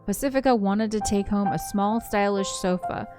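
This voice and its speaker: A woman's voice: medium pitch, normal speed. A woman streaming a visual novel, reading it aloud in a casual voice and chatting with informal, giggly asides. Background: game soundtrack music.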